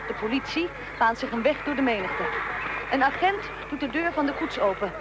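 A woman's voice: sits high, lively intonation, a little fast, very noisy. A woman playing a tense eyewitness reporter in a radio drama, commenting dramatically on history as it unfolds. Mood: urgent. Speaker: reporter and interviewer.

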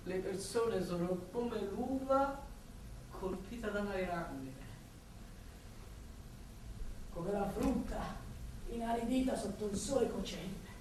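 An actress playing a wistful, grieving mother who loves her city, in a lyrical, deliberate theatrical monologue with lingering pauses. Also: mid-range voice, expressive, darkish tone. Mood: bitter. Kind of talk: theatrical monologue for stage or radio drama. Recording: echoey hall, distant mic, faint noise.

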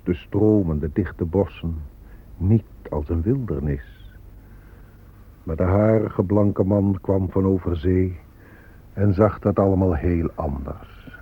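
An actor playing a grave Native elder who speaks deliberately, like a solemn refrain, in a poetic radio play. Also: male voice, sits low, husky, full character voice. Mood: grave, wounded pride. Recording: narrow phone-like audio with music behind.

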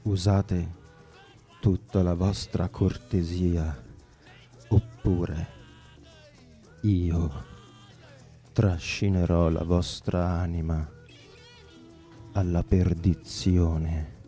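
Man playing a deep, slow, sinister Devil. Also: flat delivery, warm tone, exaggerated voice. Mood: menacing. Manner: slow, courteous, menacing monologue. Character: suave, sinister male Devil boasting of his crimes. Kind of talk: dramatic recitation of translated song lyrics over music. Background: music.

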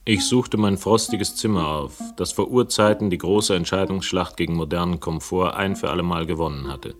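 An actor playing a wry, brooding first-person narrator in a radio crime drama, measured and sardonic. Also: male voice, deep voice, natural voice. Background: music.

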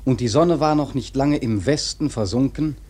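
An actor playing a grave seafaring storyteller, narrating a radio drama in a husky, measured, literary way. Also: male voice, mid-range voice, a little mellow, natural voice. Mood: grave, suspenseful. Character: grave male seafaring storyteller recounting a whale hunt.

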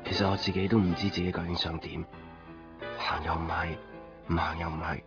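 Earnest, insistent young man, deep voice. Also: flat delivery, a little fast, creaky. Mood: earnest, resigned. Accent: Hong Kong Cantonese. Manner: probing, earnest, pressing with questions. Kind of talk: 1990s Cantonese radio drama acting. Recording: dull lo-fi 1990s radio recording, music behind. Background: background music.

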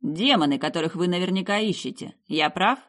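A woman voicing a polite, erudite male necromancer in an audiobook, speaking in a measured, courteous way. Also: on the low side, animated, a bit bright. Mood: polite, explanatory.

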